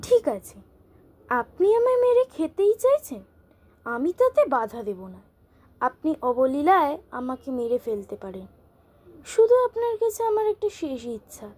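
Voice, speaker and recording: A high, lively cow voice acted by a woman, meek and pleading on the surface. This is a crafty cartoon animal bargaining submissively, with big rises and falls in pitch. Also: moderate pace. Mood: feigned submission. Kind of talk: children's cartoon voice acting.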